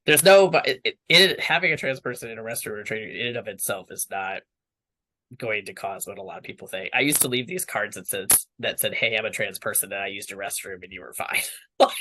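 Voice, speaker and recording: A man's voice: mid-range voice, pushed and bright. A young man speaking candidly as an interview guest, rambling with many fillers and restarts. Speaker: interview guest, water professional.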